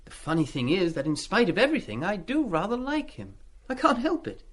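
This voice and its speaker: Chatty British schoolboy, animated and high. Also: male voice, fairly quick, heavily acted. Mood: frank, earnest. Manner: chatty, deferential with schoolboy slang. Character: eager, cheeky schoolboy in his mid-teens, indiscreet but polite. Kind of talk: radio play acting.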